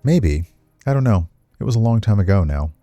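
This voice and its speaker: An earnest young woman dancer in a visual novel, read by a man, hesitant and slightly defensive. The voice stays low and mellow, close and dry. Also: sing-song, a little fast, dry recording, soft background music. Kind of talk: reading visual novel dialogue aloud.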